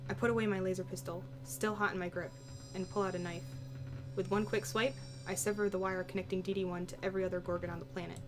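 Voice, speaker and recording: A woman with a mid-pitched voice reads a visual novel aloud. She narrates in the first person with a dramatic storytelling delivery, and music plays clearly behind her. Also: level tone, fast talker.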